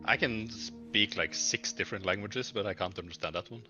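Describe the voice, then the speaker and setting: A man's voice: fairly low pitch, brisk, muffled recording, over music. A man chatting casually as a player after a tabletop game. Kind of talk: casual chat.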